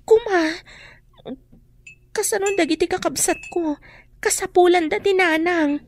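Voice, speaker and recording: High-voiced worried young daughter. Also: female voice, unhurried, a little hiss or hum. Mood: anxious. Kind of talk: Ilocano radio drama acting.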